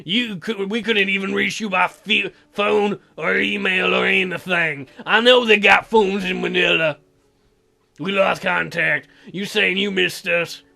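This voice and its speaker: A man voicing a stubborn, hurt teenage boy with a strained, gravelly voice, reading visual novel lines. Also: sits high, steady tone, unhurried, bright, forceful tone, faint music behind, exaggerated voice. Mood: hurt, accusing.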